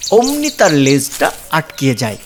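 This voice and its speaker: Very animated children's story narrator. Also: mid-range voice, unhurried, background noise. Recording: loud music behind.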